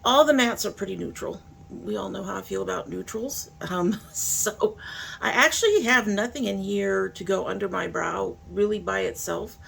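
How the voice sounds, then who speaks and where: A woman's voice: mid-range voice, moderate pace, vocal fry, fairly bright tone, breathy edge, low-quality recording. A woman talking informally to camera as a beauty vlogger, thinking aloud in a casual makeup review.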